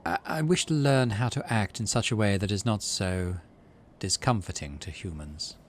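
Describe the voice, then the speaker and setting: Guy doing a polite alien dude from a video game, low voice, very formal and measured, bit of noise behind. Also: close to an everyday voice. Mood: placating, earnest. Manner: formal, measured, courteous phrasing. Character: polite, mirthful male alien, formal and secretive.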